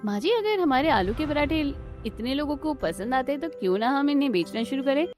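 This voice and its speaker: Woman voicing earnest young daughter-in-law, high voice. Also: lively intonation, fairly quick, a little mellow, faint music behind. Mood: hopeful. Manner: polite, sincere.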